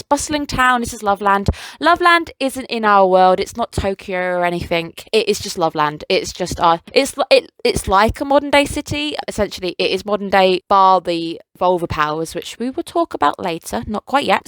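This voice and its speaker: Young woman gushing over an anime, rambly fan talk, clean crisp voice, dead dry sound. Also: mid-range voice, no room sound. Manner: gushing, excitable fan, rambling with self-corrections. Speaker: anime reviewer. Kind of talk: casual solo review monologue.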